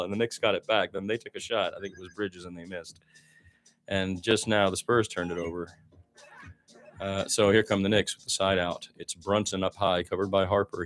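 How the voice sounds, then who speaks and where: A man doing improvised live basketball play-by-play as a radio commentator, adding opinionated, reflective asides between calls. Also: on the low side.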